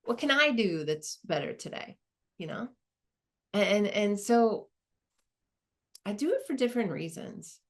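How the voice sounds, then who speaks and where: A woman playing a reflective, earnest professor in her sixties, in a halting, conversational stage monologue. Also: medium pitch, big pitch swings. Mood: thoughtful. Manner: halting, thoughtful, colloquial. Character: reflective, earnest 64-year-old woman, professor nearing retirement. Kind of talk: dramatized first-person monologue, conversational.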